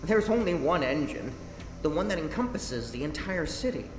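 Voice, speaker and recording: An actor voicing a nervous male engineer who stammers and talks in a flustered, excitable way. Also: fairly high pitch, normal speed. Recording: roomy game audio with reverb and music.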